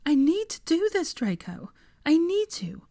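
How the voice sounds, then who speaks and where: An overworked young woman in a storybook, voiced by a woman reader. Her voice is pitched high and swings about, insistent and fretful, with a stubborn streak under the tiredness. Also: sing-song, a little slow, warm tone, full character voice. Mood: fretful, panicked.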